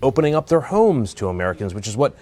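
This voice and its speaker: A man with a mid-range voice reports live as a news correspondent. His delivery is formal and somewhat halting, with hesitations between phrases. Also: medium pitch, sing-song, a little mellow.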